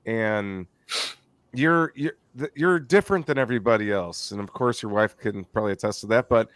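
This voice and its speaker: A man hosting a podcast, rambling warmly through thanks with many restarts. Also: lowish voice, normal speed, no echo. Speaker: podcast host. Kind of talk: casual podcast chat.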